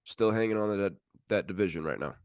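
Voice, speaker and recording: Male sports podcast host, lowish voice, casual commentary moving through standings, dry close recording. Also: animated, fast talker, no room sound.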